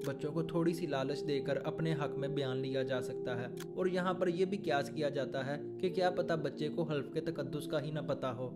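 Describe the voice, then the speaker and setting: A man with a Pakistani accent presenting a scripted legal explainer as an advocate, formal and methodical, going point by point. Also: fairly high pitch.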